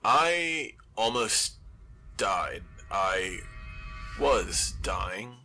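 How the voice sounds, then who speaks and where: An actor playing an anxious, overthinking zombie apocalypse survivor who broadcasts alone, rambling and self-deprecating in a halting confessional radio monologue. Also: male voice, on the low side, sing-song, bright, forceful tone, faint music behind, heavily acted. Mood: troubled, reflective.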